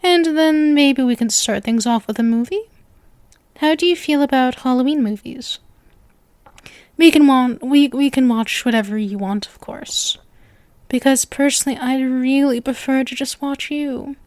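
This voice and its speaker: A woman's voice: high-pitched, moderate pace, darkish tone, no room sound, lightly acted. A woman voicing a sweet, lovestruck, clingy witch girlfriend in an ASMR roleplay, speaking to the listener in a coy, nervous, gushing and intimate manner. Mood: overjoyed, adoring. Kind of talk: ASMR roleplay, scripted acting addressed to listener.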